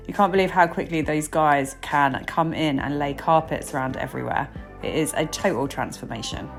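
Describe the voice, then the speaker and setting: A woman from England narrating her trip to a trade show, chatty and upbeat, a bit bunged up. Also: deep voice. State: stuffy, bunged up. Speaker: vlogger and nail educator. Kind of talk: casual vlog narration.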